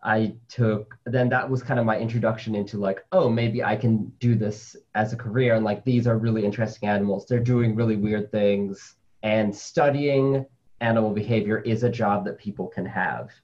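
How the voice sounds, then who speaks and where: A relaxed male spider scientist speaking in a low, easy voice, chatty and full of casual fillers. The sound is a little dull and muffled, like remote call audio. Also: normal speed. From Colombia, raised in New York City. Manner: chatty, with many "like" fillers, laughs easily. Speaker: interview guest, spider scientist. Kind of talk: casual conversational interview.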